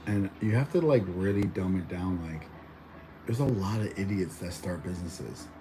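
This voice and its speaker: A man's voice: deep voice, moderate pace, noisy background. A young man born in Mexico chatting casually at home, rambling with lots of fillers and restarts. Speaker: vlogger. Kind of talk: casual chat.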